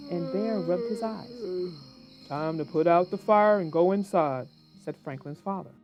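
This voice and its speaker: Female children's narrator and host: expressive, mid-pitched, animated delivery, lo-fi sound. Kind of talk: expressive read-aloud storytelling and friendly discussion. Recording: dull lo-fi sound, soft guitar music.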